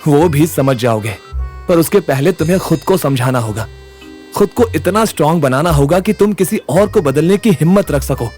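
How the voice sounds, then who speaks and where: A man's voice: fairly high pitch, lively intonation, moderate pace, a little mellow, soft background music, lightly acted. An actor playing a brash, confident young man who takes charge in an audio drama, with a blunt, swaggering manner. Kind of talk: Hindi audio drama acting.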